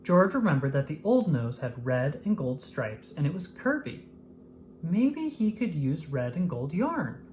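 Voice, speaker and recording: Lively, lower-pitched woman reading a storybook. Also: unhurried, a little hiss or hum. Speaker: children's book read-aloud narrator. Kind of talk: scripted storybook narration.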